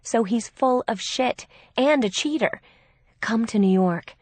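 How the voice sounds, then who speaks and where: An exasperated older sister, played by a woman narrator, argues in a raised, forceful voice. She is blunt and protective, and the storybook recording is close and dry. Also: a little high, unhurried, dry recording, voice pushed up. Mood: incredulous.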